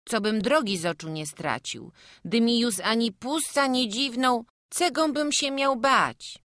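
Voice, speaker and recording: A woman's voice: on the high side, measured pace, a bit bright, no room sound, studio quality. A woman voicing a small, humble and wary peasant boy who speaks in rustic village dialect, in an audiobook. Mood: earnest. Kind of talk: audiobook acting.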